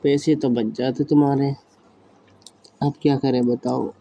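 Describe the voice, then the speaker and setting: A man's voice: mid-range voice, level tone, a little slow, vocal fry, warm tone. A man chatting casually on the phone in a drowsy, laid-back manner. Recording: phone call with faint background noise.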